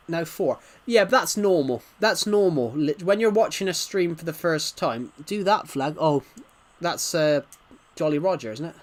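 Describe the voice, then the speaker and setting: Higher-pitched man chatting on a gaming stream. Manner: rambling, stuttering, reads out viewers' comments. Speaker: livestream host. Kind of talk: casual gaming livestream chat.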